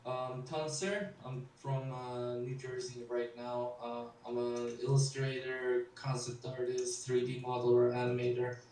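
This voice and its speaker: A man speaks in an even, level tone with little melody, matter-of-fact as he introduces himself. He sounds far from the mic in a strongly echoing room. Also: mid-range voice, measured pace, few pauses, a little hiss or hum. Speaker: illustrator, panel guest. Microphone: video call. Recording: very echoey, distant video-call audio.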